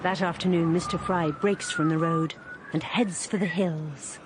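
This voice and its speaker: A woman narrating a radio drama in a calm, measured storytelling voice. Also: fairly low pitch, noisy background.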